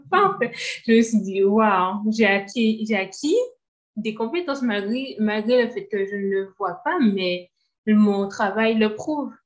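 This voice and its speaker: A woman's voice: mid-range voice, a little slow, dull audio. A young woman, a former intern on a panel, giving an enthusiastic, casual personal account.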